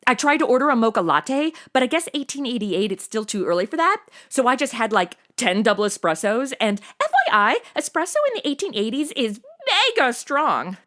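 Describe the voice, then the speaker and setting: A woman's voice: a little high, sing-song, brisk, hardly any pauses, a bit bright. A woman playing a chatty, cheeky, jittery modern young woman in a comedy audio drama, slangy and over-caffeinated.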